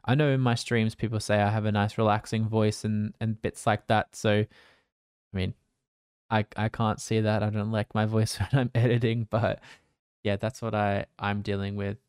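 A man, a streamer, giving casual advice in a relaxed, rambling way with fillers and restarts, talking into a condenser desk mic. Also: fairly low pitch, normal speed, clear, resonant tone, no room sound, studio quality. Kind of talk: casual advice talk to camera. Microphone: condenser desk mic with pop filter.

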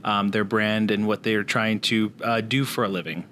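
A man's voice: lowish voice, steady tone, moderate pace, fairly bright tone, slight background noise. Upbeat male podcast host. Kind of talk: casual interview chat.

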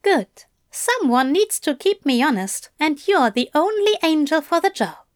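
A woman voicing a lanky male demon who speaks softly and earnestly, coaxing and teasing his partner. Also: high-pitched, very animated, a little slow, no echo, clean studio sound. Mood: tender promising. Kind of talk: audiobook fan fiction reading.

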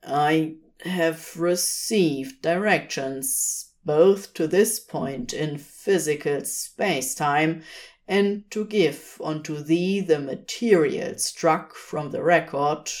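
A woman's voice: low voice, takes it slowly, darkish tone. A woman voicing an expressionless, solemn angel administrator in an audiobook-style story, intoning in stiff formal phrases. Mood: flat, formal.

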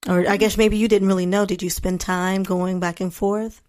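A woman's voice: mid-range voice, flat delivery, moderate pace, a little mellow. Woman podcast host introducing and interviewing.

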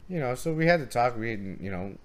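A man's voice: mid-range voice, expressive, fairly quick. A middle-aged man, a martial arts coach and gym owner, telling a story in casual chat. He has a strong voice that carries and acts out the arguments he quotes.

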